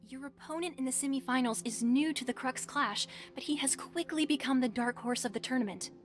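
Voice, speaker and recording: An actress playing a polite, composed young tournament attendant in a video game, courteous and formal. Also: female voice, high voice, brisk, soft background music, lightly acted.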